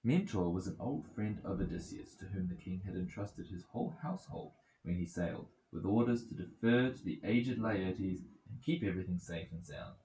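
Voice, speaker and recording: A man reading an ancient epic aloud as scripted narration, in a measured, dramatic storytelling manner. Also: deep voice, expressive, dark tone. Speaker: volunteer reader of an epic. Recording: roomy echoing home recording.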